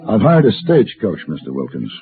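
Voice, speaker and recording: An actor playing a cool, cultured gun-for-hire in a 1950s radio drama, assured and direct. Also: male voice, on the low side, wildly swooping pitch, fairly quick. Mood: businesslike. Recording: muffled vintage radio recording.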